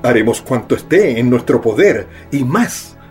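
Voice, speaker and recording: A man's voice: medium pitch, sing-song, unhurried, darkish tone, soft background music. A man playing a devoted, tender father in a radio drama, affectionate and coaxing in his delivery. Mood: pleading, emotional.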